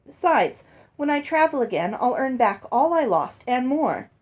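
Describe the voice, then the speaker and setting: A woman voicing a smooth-talking, placating father in a storybook, full of sweet endearments. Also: high-pitched, very animated, moderate pace, pitched above the performer's own voice. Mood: placating, firm.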